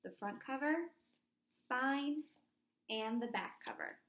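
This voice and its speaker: Female teacher, storybook read-aloud: higher-pitched, very expressive, unhurried, in an echoey room. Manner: deliberate, explains and comments on the pictures. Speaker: teacher reading aloud to a class. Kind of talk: expressive children's picture book read-aloud.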